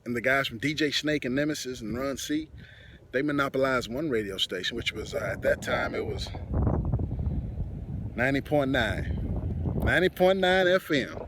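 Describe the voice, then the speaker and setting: A middle-aged man with a Texan accent spins a loose, conversational story, packed with "you know" and side remarks. He speaks at a middling pitch for a man. The recording is made outdoors, with clear wind and noise behind him. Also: expressive, normal speed, long pauses. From Texas. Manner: loose and conversational, many fillers and asides. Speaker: host, musician. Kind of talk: casual storytelling monologue.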